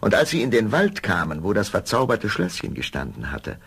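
A man's voice: on the low side, big pitch swings, fairly quick, fairly bright tone, husky. A man narrating a scripted fairy tale as a storyteller.

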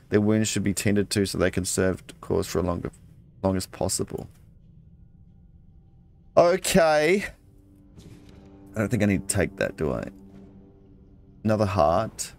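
A man playing a video game, casually commenting, reading in-game texts aloud and muttering asides to himself. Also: on the low side. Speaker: let's play gamer.

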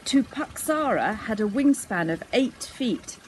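A woman's voice: fairly high pitch, animated, unhurried, low-quality recording. A woman in her sixties reading a children's story aloud outdoors in a garden. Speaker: storybook reader. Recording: faint outdoor background noise.

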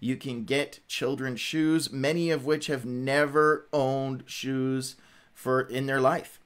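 A middle-aged man hosts a casual livestream. His voice sits at an ordinary pitch. He talks informally and rambles from one aside to the next. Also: steady tone, slow pace, fairly bright tone, smooth. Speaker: host of a Bible read-along livestream.

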